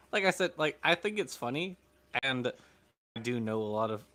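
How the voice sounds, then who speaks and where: A man's voice: medium pitch, lively intonation, moderate pace, sharp tone. Laid-back Southern man co-hosting. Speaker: podcast co-host. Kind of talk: casual chat.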